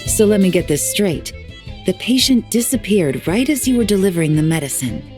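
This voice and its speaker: An actress playing a cool, shrewd investigator in a video game, speaking in a composed, deliberate and wry way. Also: female voice, mid-range voice, lively intonation, darkish tone, soft background music, close to an everyday voice. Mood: composed, inquisitive. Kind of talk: video game character acting.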